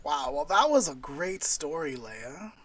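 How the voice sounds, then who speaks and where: Lower-pitched woman in casual podcast chat. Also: sing-song, a little slow, vocal fry. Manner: informal, conversational, inquisitive. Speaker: podcast co-host.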